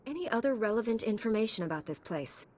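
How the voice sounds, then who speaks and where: A woman's voice: fairly high pitch, animated, fast talker, long reverb tail. An actress playing a principled, composed woman knight in a video game, speaking earnestly.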